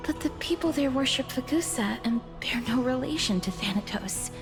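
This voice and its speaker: An actress playing a gentle, earnest young girl in a video game, speaking carefully and haltingly. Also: sits high, animated, music in the background. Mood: puzzled, doubtful. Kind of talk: scripted dramatic game dialogue.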